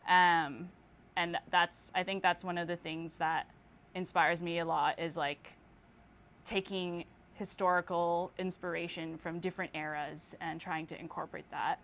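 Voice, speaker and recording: Relaxed young woman artist, lowish voice. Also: unhurried, slight background noise. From Philippines and Toronto. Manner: relaxed, lots of fillers and likes. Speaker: guest artist. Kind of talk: casual interview.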